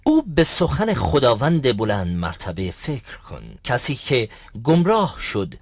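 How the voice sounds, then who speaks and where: A learned, patient sheikh from an educational cartoon. His voice is husky and moves widely in pitch as he instructs formally. The sound is close and dry, with little room around it. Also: wildly swooping pitch, no echo, heavily acted. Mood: approving, instructive. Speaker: sheikh teaching boys. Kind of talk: dubbed educational cartoon acting.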